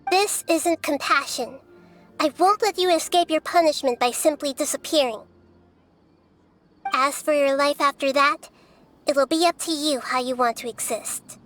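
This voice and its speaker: A woman voicing a small, wise, gentle goddess who speaks in a measured, formal way in an anime game. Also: sits high. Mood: calm, firm. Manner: measured, soft-spoken, formal. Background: background music.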